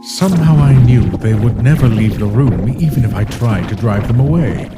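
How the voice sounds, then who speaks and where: A man voicing a weary, brooding ancient being who narrates a comic dub in a solemn, measured way. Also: medium pitch, animated, lightly acted. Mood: pensive, guarded. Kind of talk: scripted first-person comic dub narration. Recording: voice under loud music.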